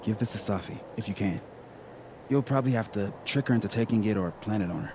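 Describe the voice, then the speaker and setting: A man playing an earnest, cautious young friend in a video game, hesitant and gently wry. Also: fairly low pitch, fast talker, background noise, close to an everyday voice. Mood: conspiratorial. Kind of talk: naturalistic video game acting.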